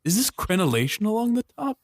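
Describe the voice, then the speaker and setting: A man's voice: high-pitched, big pitch swings, quick pace, dry recording. A man playing a tabletop game in character, talking in a pompous, lecturing, theatrical way.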